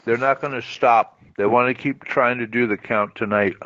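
A man's voice: mid-range voice, fairly even intonation, moderate pace, a bit bright. A man ranting informally about politics in a blunt, profane, emphatic way over a push-to-talk voice chat app. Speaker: participant in a political radio chat channel. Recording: muffled walkie-talkie app audio with background noise.

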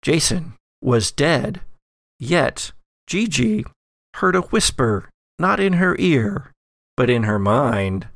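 A man's voice: medium pitch, creaky, no echo, clean studio sound. A man narrating as game master and podcast host, shifting between theatrical storytelling and casual asides. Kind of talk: recapping and running a tabletop game.

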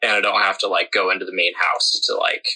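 A young man in his twenties speaks with a very sharp, tense tone on a video call. He talks wryly and mocks himself, and he hesitates with frequent ums. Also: low voice, level tone, fast, rough recording. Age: 20s. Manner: wry, self-mocking, hesitant with ums. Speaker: podcast co-host. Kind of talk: casual podcast chat.

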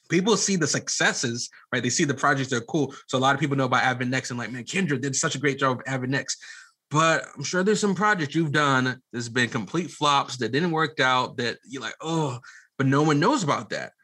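A man's voice: medium pitch, bright, forceful tone. A man speaking earnestly and informally as a podcast guest, giving advice in casual interview talk. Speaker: podcast guest, media company CEO.

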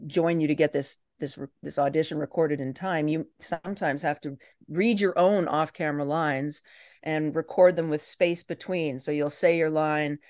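A woman's voice: sits low, no echo, studio quality. A woman actress speaking as a podcast guest, explaining things in a casual, conversational way.

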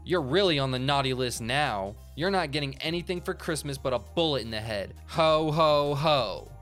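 Man voicing a booming, menacing monster Santa boss. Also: expressive, moderate pace, a bit bright, faint music behind, natural voice. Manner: booming, mock-jolly and menacing. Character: huge eight-foot alien bug gangster boss dressed as Santa.

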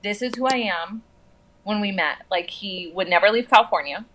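Bright-voiced American woman chatting casually. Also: medium pitch, normal speed, slight background noise, poor audio. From southwestern United States. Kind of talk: casual conversation.

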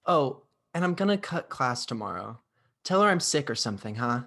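A woman's voice: very deep voice, very animated, normal speed, vocal fry, a bit bright, exaggerated voice. A woman playing a wry, carefree college babysitter in a radio play. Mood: wry, breezy. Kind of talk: classic radio play acting.

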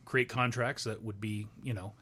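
A man's voice: fairly low pitch, steady tone, pushed and bright, husky. Businesslike man, guest on radio. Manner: measured, businesslike, filler-heavy. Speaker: radio guest, production company co-founder. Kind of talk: casual radio interview.

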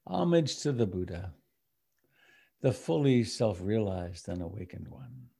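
A man leading a guided meditation as its teacher, speaking in a contemplative, measured way with long pauses. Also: on the low side, big pitch swings, creaky, mellow, husky. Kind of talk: guided meditation, with sung and chanted refuges.

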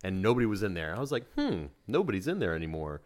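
A man tells an easygoing story at a relaxed pace. His voice sits low. He speaks in a casual, conversational way. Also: fast. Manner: easygoing, anecdotal. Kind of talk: casual chat.

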